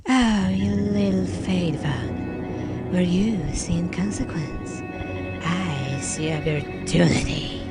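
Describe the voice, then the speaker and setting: A woman's voice: lowish voice, takes it slowly. A woman voicing an imperious, scheming male alien overlord in a fan dub, theatrical and commanding. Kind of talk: fan dub villain acting. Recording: loud ominous music over voice.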